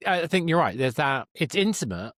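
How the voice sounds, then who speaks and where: A man's voice: a little high, very animated, normal speed, fairly bright tone, dry recording, clean studio sound. A man hosting a casual conversational interview, feeling his way through sentences with tentative, circling phrasing. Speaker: podcast host, interviewer.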